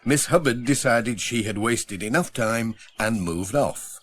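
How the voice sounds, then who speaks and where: A man's voice: medium pitch, measured pace. A man narrating a children's story as an audiobook reader, from a script. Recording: faint noise, old audiobook transfer.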